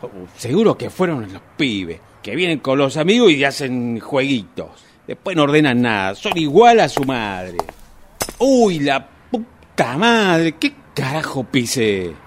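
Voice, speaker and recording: A man's voice: mid-range voice, big pitch swings, unhurried, a little hiss or hum. A man with an Argentine Rioplatense accent playing a grumbling, tipsy husband in a radio drama, muttering exasperated complaints to himself. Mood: irritated. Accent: Argentine Rioplatense Spanish. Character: grumbling tipsy husband stumbling home at night.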